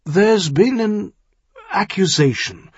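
A man's voice: high-pitched, animated, slow pace, husky, no room sound, highs cut off, voice pushed up, heavily acted. A man voicing an earnest, exasperated older earl and worried father-in-law in an audiobook, urgent and insistent. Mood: careful, reluctant. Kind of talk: audiobook character dialogue.

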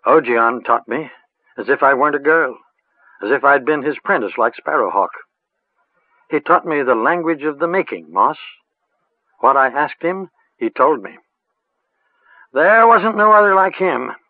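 A woman's voice: sits low, expressive, unhurried, pauses often, dry recording, voice pushed up. Man putting on a rustic old witch woman. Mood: musing. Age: older. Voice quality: rustic dialect, sing-song and chanting. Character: old female village witch, rustic and earthy. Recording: tinny phone-like line, dry.

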